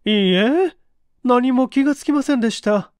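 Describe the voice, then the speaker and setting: A humble young housemaid from a mystery audiobook, as acted by a male reader. She speaks formally and carefully, in a smooth, clean voice recorded dry and close. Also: very high-pitched, dry recording, voice pushed up. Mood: deferential, careful. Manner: formal, humble, measured storytelling.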